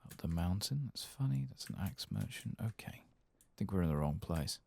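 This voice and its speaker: A man giving casual, rambling, wry and sweary soft-spoken ASMR commentary on a game, close to the mic. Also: low voice, lively intonation, moderate pace, vocal fry. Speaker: host of a game playthrough channel.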